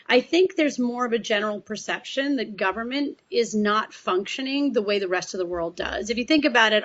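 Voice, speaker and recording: A woman's voice: medium pitch, a bit bright. Woman panelist, muffled video call sound. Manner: thoughtful, with restarts. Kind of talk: virtual panel discussion.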